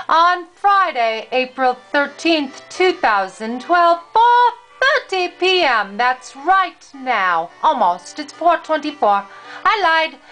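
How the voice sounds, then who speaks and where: Older female storyteller, high-pitched, unhurried, expressive read-aloud, music underneath. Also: poor audio. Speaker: storyteller reading to a grandchild.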